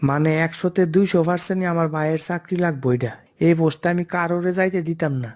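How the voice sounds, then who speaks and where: A man's voice: fairly high pitch, steady tone, fast, a little mellow, close to an everyday voice. A man playing a scheming cartoon office underling. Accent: eastern Bengali dialect. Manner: wheedling, overconfident. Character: scheming, cocky male office underling, flattering and pushy. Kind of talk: comic cartoon dialogue.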